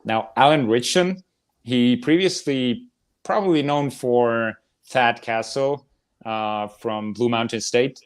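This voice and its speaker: A man with a medium-pitched voice talks as a panelist on a casual podcast. His delivery is informal and enthusiastic, like someone eagerly recommending things to friends. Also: slow pace, clear, resonant tone. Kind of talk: casual chat about films and TV shows.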